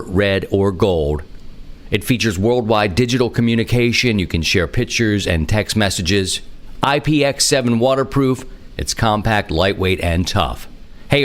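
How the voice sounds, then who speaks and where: Podcast host, male, lower register, monologue and sponsor-read delivery.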